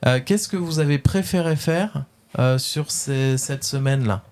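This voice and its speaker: A man's voice: mid-range voice, a little slow, a little mellow. A man with a French accent chats through a casual webradio interview, stopping often to hunt for words. His voice sits in an ordinary middle register. He keeps his questions friendly and easygoing. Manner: hesitant, many fillers, friendly questions.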